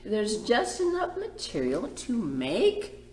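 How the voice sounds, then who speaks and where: A woman's voice: medium pitch, wildly swooping pitch, takes it slowly, darkish tone, echoey room. A woman voicing a kindly, resourceful old grandfather tailor in a storybook, pondering and announcing proudly.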